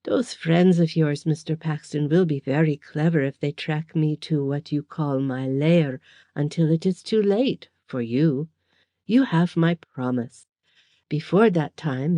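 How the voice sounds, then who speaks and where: A woman's voice: deep voice, unhurried, dark tone, dry recording. A woman doing a sneering, sinister foreign villain. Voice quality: sneering, insinuating.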